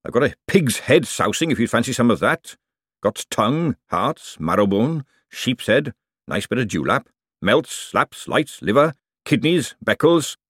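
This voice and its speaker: A man's voice: mid-range voice, big pitch swings, measured pace, a bit bright, slightly rough, dry recording, clean studio sound, heavily acted. A man voicing a nervous, servile male chef in an audiobook, hesitant and deferential. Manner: hesitant, servile, repeatedly saying "sir". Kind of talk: audiobook character dialogue.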